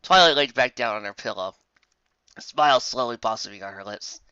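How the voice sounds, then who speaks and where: A man reading a story as a scripted narrator, with dramatic, expressive delivery on a muffled, dry home recording. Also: mid-range voice, a little fast, smooth, no echo. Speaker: fan fiction narrator.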